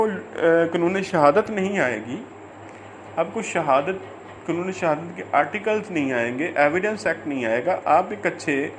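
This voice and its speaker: A man with a Pakistani accent giving an informal law lecture, halting and repeating phrases as he reads out and explains. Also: high voice, a bit bright, a little hiss or hum. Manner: halting, repeats phrases, stretches out English words when reading. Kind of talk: informal lecture, reading English statute and explaining it.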